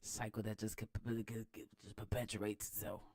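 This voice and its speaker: An American woman reading a book aloud and adding informal, slangy commentary in an expressive manner. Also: rumbling low, moderate pace, no echo. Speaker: host of a read-aloud series. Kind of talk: book read-aloud with casual running commentary.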